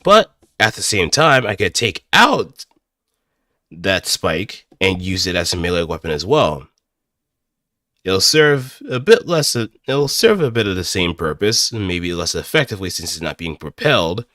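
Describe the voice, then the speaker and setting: A low, deep male voice with a very bright, forceful edge, recorded close and dry. He talks like a casual streamer, working out his thoughts aloud and making things up as he goes. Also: big pitch swings, a little slow, no echo. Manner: hesitant, thinking aloud, improvising as he goes. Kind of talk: casual rambling livestream commentary.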